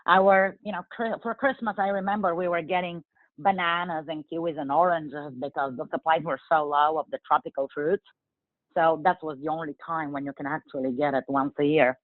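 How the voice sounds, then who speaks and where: A woman in her forties from Bulgaria, speaking English with a Bulgarian accent, answering interview questions in an earnest, measured way with frequent fillers. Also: deep voice, fairly even intonation, no room sound. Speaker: guest. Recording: tinny phone-like line.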